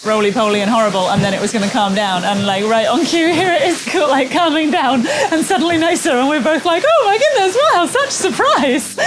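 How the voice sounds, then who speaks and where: A woman sailing vlogger chatting casually to camera aboard a boat at sea, with an expressive, animated way of talking. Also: sits high, moderate pace, over music.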